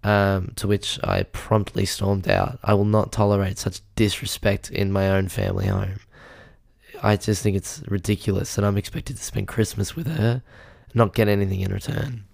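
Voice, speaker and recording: A young man with a hoarse voice hosting a podcast, delivering a rambling, deadpan, self-pitying comedic monologue into a mic with a pop filter. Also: lowish voice, normal speed, no room sound, studio quality. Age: young adult. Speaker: podcast host.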